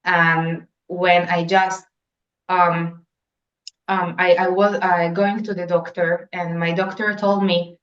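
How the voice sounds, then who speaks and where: Israeli woman, earnest and excited. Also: fairly low pitch, slow pace, echoey room, muffled recording. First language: Hebrew. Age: young adult. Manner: earnest, excited, searching for English words. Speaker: egg donor guest. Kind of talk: webinar interview.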